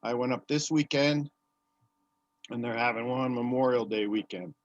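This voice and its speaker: A man's voice: medium pitch, normal speed, dry recording. A man in casual group chat, rambling with restarts as he organizes volunteer help. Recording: dry, dull lo-fi call audio.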